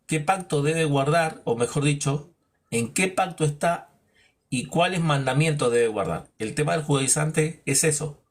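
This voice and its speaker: A man teaching a Bible lesson in a preaching style, asking rhetorical questions and acting out imagined dialogues. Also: a little slow. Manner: emphatic, drops low on verdicts.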